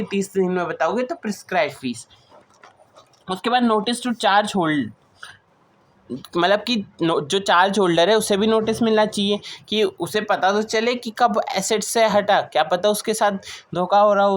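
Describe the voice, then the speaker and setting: A woman with an Indian accent giving an explanatory lecture as a teacher, reading provisions aloud and then explaining them with repeated key points. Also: medium pitch. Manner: switches between slow English reading and Hindi explanation, repeats key points. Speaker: teacher of company law.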